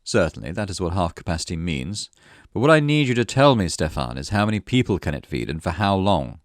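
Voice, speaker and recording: A man acts out a storybook knight who is in command. His voice is very low and sounds dry and close. He stays polite and controlled, with an impatient edge. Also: lively intonation, fairly quick, no room sound. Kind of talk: acted story dialogue.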